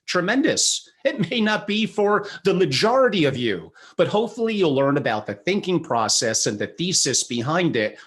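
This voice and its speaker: Male podcast host, chatty, medium pitch, video call, reads disclaimers. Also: fairly bright tone. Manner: chatty, gives long disclaimers. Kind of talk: interview introduction.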